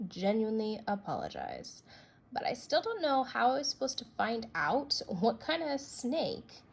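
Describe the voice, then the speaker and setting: A woman voicing a child who writes a grudging, earnest apology letter, read expressively as a storybook. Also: medium pitch. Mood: grudgingly contrite.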